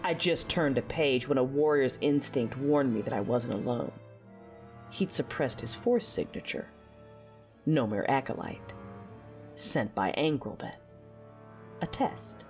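Brooding fallen Jedi warrior, narrating. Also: female voice, rumbling low, lively intonation, unhurried, long pauses, a little mellow, faint music behind, heavily acted. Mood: brooding, intense. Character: battle-hardened, dark-tempered female fallen Jedi warrior. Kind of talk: first-person dramatic narration in an audio drama.

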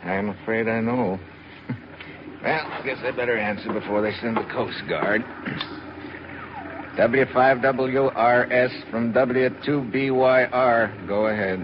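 A man's voice: medium pitch, slow pace, lightly acted. An actor playing a breezy womanizing secret agent, wry and casual, in 1940s radio acting. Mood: sheepish, casual. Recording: dull hissy 1940s radio recording.

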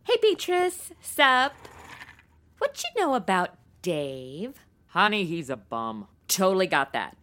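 A woman's voice: on the low side, wildly swooping pitch, takes it slowly, fairly bright tone, exaggerated voice. A woman playing a flippant, gossipy young sidekick detective in a comic radio parody. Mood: chatty, flippant. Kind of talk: comic old-time radio parody acting.

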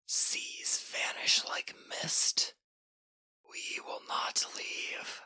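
An ancient gray creature of the Martian desert speaks in a dry, rasping whisper. Every word comes slow and solemn, like something that has watched worlds rise and fall. The voice stays hushed yet bright at the edges. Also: male voice, very high-pitched, voice pushed up, full character voice. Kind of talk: audiobook character voice.

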